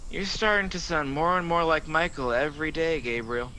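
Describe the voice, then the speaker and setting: A man's voice: fairly high pitch, moderate pace, sharp tone. A man playing a sly, mocking, boastful fallen angel in a radio play, sardonic and theatrical, on a noisy home recording. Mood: bored, mocking. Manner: smooth-talking, sardonic, theatrical.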